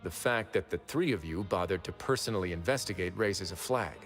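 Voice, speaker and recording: Low-voiced, wry prison duke. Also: male voice, a bit bright, faint music behind. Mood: musing, probing. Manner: sardonic, unhurried, self-assured. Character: adult male prison duke, wry, composed, shrewd. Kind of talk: anime video game voice acting.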